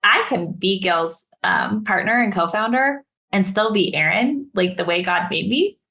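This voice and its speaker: A woman co-hosting a podcast in casual interview chat, animated and acting out her own reactions as she tells stories. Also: mid-range voice, unhurried. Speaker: podcast co-host.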